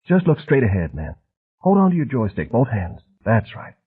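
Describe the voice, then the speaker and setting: A man voicing a jittery, menacing middle-aged thug with a soft, almost feminine voice and a hint of a refined Southern accent, jabbering fast on a muffled cassette recording. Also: medium pitch, huge pitch swings, breathy edge, voice pushed up, full character voice. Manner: jabbering quickly, as if on speed. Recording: muffled, phone-like old cassette audio.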